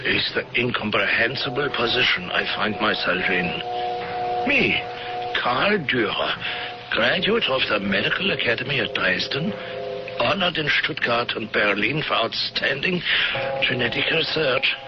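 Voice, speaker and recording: An actor playing a self-righteous fugitive German doctor in a radio drama, speaking in a formal, aggrieved manner. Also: male voice, mid-range voice, animated, a little slow, close to an everyday voice. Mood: aggrieved, proud. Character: indignant fugitive German doctor, evasive, self-righteous former camp physician. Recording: dull vintage radio recording, loud music behind.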